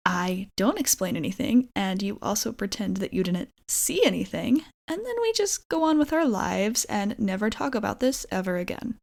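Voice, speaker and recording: A woman playing an awkward, nervous young vampire roommate in an ASMR roleplay, halting and rambling apologetically. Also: mid-range voice, big pitch swings, vocal fry. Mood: sheepish, bargaining.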